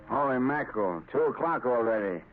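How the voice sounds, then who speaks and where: An actor playing a gruff, suspicious shipyard worker in an old radio drama, blustering and defensive with slangy speech. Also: male voice, mid-range voice, lively intonation, fairly quick, phone-quality sound, faint music behind, lightly acted. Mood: hostile. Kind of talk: 1930s radio drama acting.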